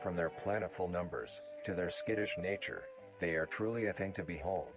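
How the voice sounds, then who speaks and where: A man reading scripted nature documentary narration in a formal, measured manner. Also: deep voice, music in the background.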